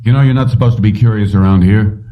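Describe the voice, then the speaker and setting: A man's voice: on the low side, quick pace, far from the mic, pitched above the performer's own voice, lightly acted. Guy doing a bossy impatient foreman for an audiobook, clipped orders, kinda muffled and off mic. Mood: suspicious. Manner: brusque, clipped commands and questions. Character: gruff, impatient, suspicious factory foreman barking orders. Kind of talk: audiobook character dialogue.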